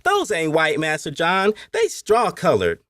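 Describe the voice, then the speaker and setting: Man doing an earnest, high-pitched young boy. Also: huge pitch swings, unhurried, a bit bright, no echo, studio quality, heavily acted. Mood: matter-of-fact. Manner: rustic Southern dialect, ungrammatical, earnest. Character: earnest young enslaved boy in the old American South.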